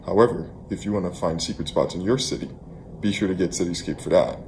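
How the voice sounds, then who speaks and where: A man's voice: low-pitched, quick pace, darkish tone, slight background noise, low-quality recording. A man in his thirties from Haiti, hosting a casual explainer vlog in a conversational way. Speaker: host, app co-founder.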